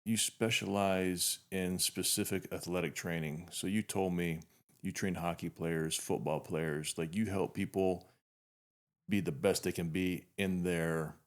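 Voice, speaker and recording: Deep-voiced man hosting a podcast. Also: unhurried. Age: 50s. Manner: relaxed, rambling with frequent restarts. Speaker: podcast host. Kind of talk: casual interview chat.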